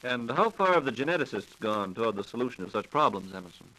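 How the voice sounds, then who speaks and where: A man's voice: mid-range voice, big pitch swings, fast, bright, forceful tone, poor audio. An American man acting as interviewer in a scripted 1940s radio dialogue, prompting an expert with questions. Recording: old 1940s radio broadcast recording.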